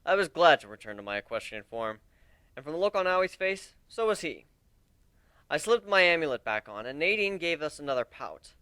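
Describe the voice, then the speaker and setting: An expressive male narrator reads a first-person story in a conversational way. His voice sits fairly high and swings widely in pitch, recorded close and dry. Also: brisk, clean tone, dry recording. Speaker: narrator of a dramatic reading.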